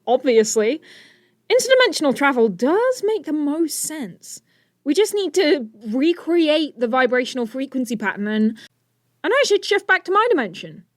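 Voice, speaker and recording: An excitable teen science whiz played by a woman in an audio drama, with a high, very animated voice. The delivery is geeky, eager and expressive, recorded close and dry. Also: moderate pace, no room sound. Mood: curious, chatty. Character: eager, brainy teenage inventor hero.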